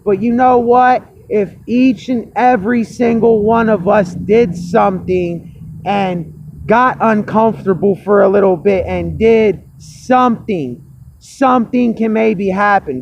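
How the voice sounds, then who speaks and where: A hoarse man with a cracking voice ranting angrily to camera, repeating himself and swearing. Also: high-pitched, slight background noise. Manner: fired-up, repetitive, swearing. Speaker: vlogger. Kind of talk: angry personal rant to camera.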